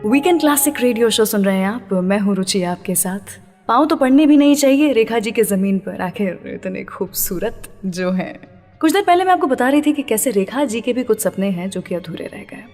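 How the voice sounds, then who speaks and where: A woman radio host with a slightly high voice speaks in a chatty way straight to listeners. Long reverb trails her words, and music plays behind her as she links classic songs. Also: expressive, normal speed, a little mellow. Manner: chatty, addresses listeners directly. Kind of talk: radio presenting between classic film songs.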